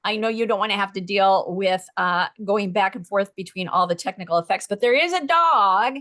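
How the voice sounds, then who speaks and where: A woman with a mid-pitched voice leads a class in casual talk. She is encouraging and effusive, speaking off the cuff. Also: normal speed, fairly bright tone. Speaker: workshop leader.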